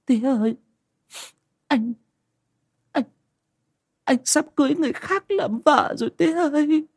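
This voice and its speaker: A woman's voice: high voice, expressive, moderate pace, a little mellow, husky, full character voice. A woman playing a devoted young country woman in an audiobook, plaintive and pleading. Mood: heartbroken. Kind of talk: audiobook drama acting.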